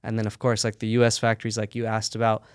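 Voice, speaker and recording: A man's voice: lowish voice, clean tone, no room sound, studio quality. A man, the founder of a sock company, telling his story casually in a podcast interview with fillers and easy laughs. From United States. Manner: relaxed storytelling with frequent fillers and quick laughs.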